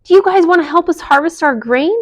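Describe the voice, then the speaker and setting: A woman's voice: very high-pitched, animated, fairly quick, voice pushed up. A woman voicing a cheerful, hardworking mother hen who encourages others to help. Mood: encouraging. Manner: upbeat, encouraging.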